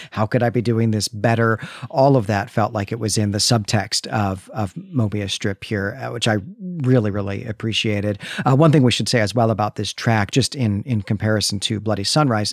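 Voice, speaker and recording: A man's voice: lowish voice, no echo, studio quality. A man in his forties hosting a podcast, discussing an album in a reflective, articulate, conversational way. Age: 40s. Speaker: podcast host.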